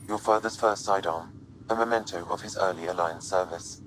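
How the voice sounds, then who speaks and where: An actor voicing a calm, literal-minded artificial intelligence in a video game, speaking formally with deadpan precision. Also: male voice, deep voice, brisk, a little hiss or hum, low-quality recording. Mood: calm, factual.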